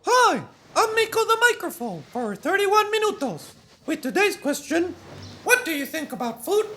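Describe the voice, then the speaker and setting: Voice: extremely high pitch, sing-song, moderate pace, exaggerated voice. A performer voicing a small, eager talking microphone, a chirpy roving reporter in a fan-dubbed puppet show. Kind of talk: puppet show vox pop, fan dub. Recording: loud noisy street ambience.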